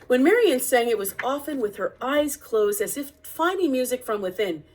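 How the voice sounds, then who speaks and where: A woman reading a picture book aloud as narrator, with deliberate, expressive phrasing. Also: high-pitched, slight background noise. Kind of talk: read-aloud storybook narration.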